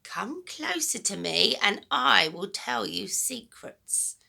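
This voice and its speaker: A woman voicing a sinister, gleeful old grandmother from a children's storybook, throaty and whispery, with a drawn-out, menacing delivery. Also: medium pitch, very animated, gravelly, sharp tone, heavily acted. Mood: sly, menacing. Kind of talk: dramatic children's storybook reading.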